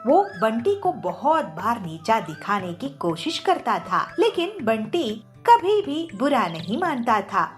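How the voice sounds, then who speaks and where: A woman's voice: a little high, sing-song, slow, soft background music. A woman reading scripted narration for a children's cartoon moral story.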